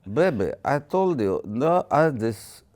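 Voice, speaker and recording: A man's voice: mid-range voice, big pitch swings, takes it slowly, darkish tone. An Indian man putting on a playful mock Russian accent as a pushy, pleading charmer, laughing as he goes. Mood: wheedling. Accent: mock Russian over Indian.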